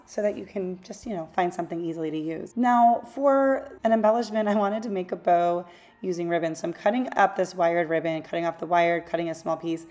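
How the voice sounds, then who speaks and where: A woman hosting a craft channel, talking through a tutorial in a casual, rambling voice-over; her voice is a little raspy from losing it. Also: lowish voice, a little mellow. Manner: chatty, rambling, self-correcting asides.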